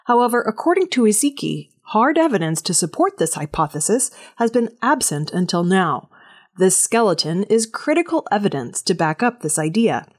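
A woman's voice: medium pitch, very animated, normal speed. A woman working as a news reader, delivering scripted audio news narration.

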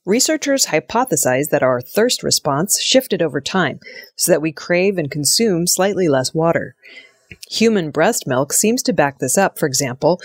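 A woman reads audio news from a script in a fairly low voice. Her delivery is measured and formal, and the sound is close and dry. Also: no echo.

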